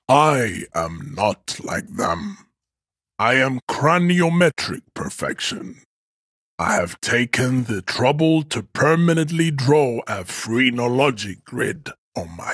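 A man's voice: mid-range voice, a bit bright, breathy edge, dry recording, clean studio sound, exaggerated voice. An actor playing a pompous, stoic strongman in a video game, declaiming haughty, grandiose speeches. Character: pompous, stoic, hulking male race-theorist strongman. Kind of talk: video game character acting.

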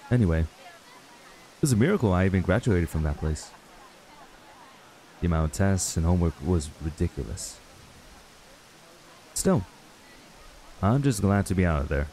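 A man's voice: low-pitched, animated, unhurried, pauses often, mellow, rough recording. A man playing a laid-back, wistful young man in an ASMR roleplay, talking casually and hesitantly to a childhood friend. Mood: earnest. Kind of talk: ASMR roleplay acting, intimate and conversational.

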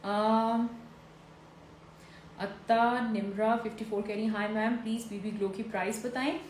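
A woman's voice: on the high side, steady tone, a little slow. A woman in her forties, a dermatologist on a live stream, reads viewer questions aloud and answers them in a conversational, advisory way with many "uh" fillers. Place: indoor room, seated in a fur-draped chair. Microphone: phone or camera on a stand, mid framing. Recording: echoey room, faint background hiss.

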